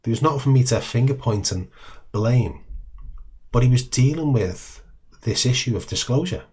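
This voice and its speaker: A middle-aged British man talks with a rough, croaky, husky voice at an ordinary middle pitch. His telling is relaxed and chatty, full of small ums. He acts out the lines of the people in his story. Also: moderate pace. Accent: British English. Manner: relaxed, with frequent ums and acted-out dialogue. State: hoarse, croaky voice. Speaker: host. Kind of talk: casual storytelling to camera.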